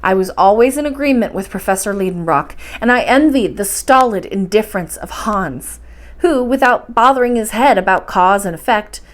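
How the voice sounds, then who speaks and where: A woman's voice: medium pitch, animated, moderate pace. A woman reading a storybook aloud in an audiobook-style narration. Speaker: storybook reader.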